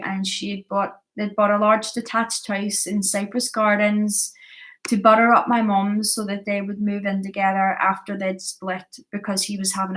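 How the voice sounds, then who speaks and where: A woman's voice: medium pitch, a bit bright, rough recording. A woman in her early thirties with a Belfast accent, candidly and earnestly telling her personal story, stressing points by repeating them. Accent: Belfast Northern Irish. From Belfast, Northern Ireland. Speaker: vlogger sharing a personal addiction story. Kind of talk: confessional personal storytelling, partly from notes.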